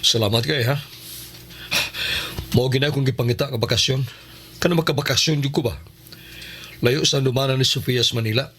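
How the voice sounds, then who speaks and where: A man's voice: mid-range voice, brisk, pauses often, fairly bright tone, slight background noise, lightly acted. An actor playing a grieving, polite architect in his thirties in a radio drama, speaking hesitantly and conversationally. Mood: polite, friendly.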